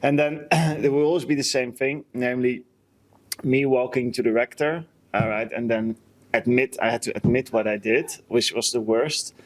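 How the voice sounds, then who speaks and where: A man's voice: mid-range voice, moderate pace. Rambling male podcaster. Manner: rambling, self-correcting. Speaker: podcast co-host. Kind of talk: casual chat, personal storytelling.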